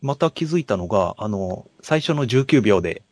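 A man co-hosting a podcast, talking casually about films and pausing to search for words. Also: lowish voice, very animated, darkish tone, no echo. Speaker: podcast co-host. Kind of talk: casual film discussion.

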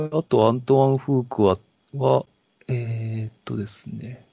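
Low-pitched man chatting about films. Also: a little slow, creaky, warm tone, husky, dry recording. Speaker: podcast co-host. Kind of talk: casual film discussion.

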